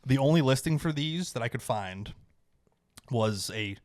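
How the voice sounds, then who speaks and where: A man's voice: medium pitch, animated, unhurried, darkish tone, no echo, clean studio sound. A man co-hosting a podcast, explaining things in an informal, matter-of-fact way in casual conversation. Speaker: podcast co-host. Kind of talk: casual chat.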